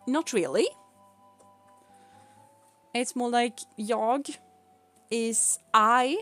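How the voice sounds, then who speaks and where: A woman's voice: on the high side, drawn-out words, fairly bright tone, faint music behind. A young woman from Sweden with a Swedish accent, chatting casually with viewers on a live stream, laughing often and explaining things patiently.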